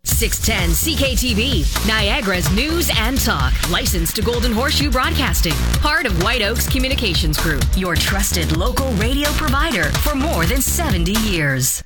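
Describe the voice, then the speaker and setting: A woman reading a radio station identification in a bright, promotional way. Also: on the high side, big pitch swings, normal speed, over loud music. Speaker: station announcer.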